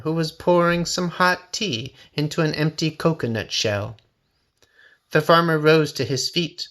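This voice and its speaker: A man reading a children's story aloud as an expressive narrator. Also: fairly high pitch. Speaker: story reader.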